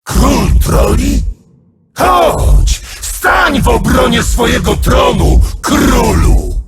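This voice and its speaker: An actor voicing a bloodthirsty ancient male demon warrior, shouting taunts at his foes in a video game. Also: extremely high pitch, expressive, extremely slow, faint music behind, exaggerated voice. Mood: menacing. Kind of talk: video game character voice lines.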